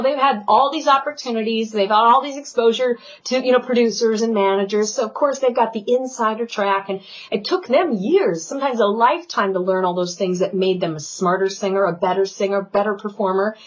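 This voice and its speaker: A woman vocal coach giving an online singing lesson, talking in a loud, animated and playful way. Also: on the high side. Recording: dull lo-fi sound.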